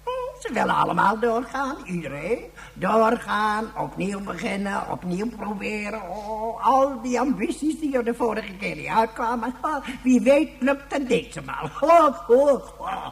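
Radio play old man: eerie crackly voice, high-set, sardonic, aggrieved, very animated delivery. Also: measured pace, gravelly, exaggerated voice. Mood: bitter, disillusioned. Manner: obsessively repeats insults, theatrical, sardonic. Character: embittered, offended, mocking old man who refused rejuvenation.

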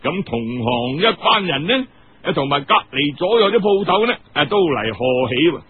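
Male Cantonese storyteller, high lively voice, colloquial, expressive, drawn-out phrasing, tinny radio-like recording. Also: a little hiss or hum. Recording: tinny, narrow-band radio sound.

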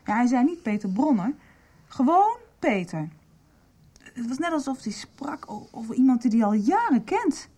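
An actress playing a candid, supportive young girlfriend in a radio soap, explaining things in a halting, confiding way. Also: a little high, very animated, warm tone, breathy edge. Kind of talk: Dutch radio soap acting.

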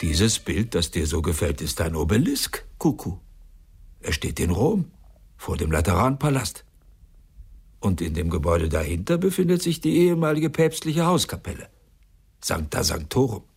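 An actor playing a kindly, learned art historian who gently explains things to a small child in a radio play. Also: male voice, low voice, sing-song, normal speed, full character voice. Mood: gently instructive. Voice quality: gravelly, husky. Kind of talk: radio drama acting.

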